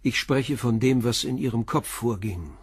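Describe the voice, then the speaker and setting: An actor in a German crime radio play playing a calm, persistent middle-aged police commissioner who questions in a measured, blunt way. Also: male voice, mid-range voice, husky, no echo, studio quality. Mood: probing.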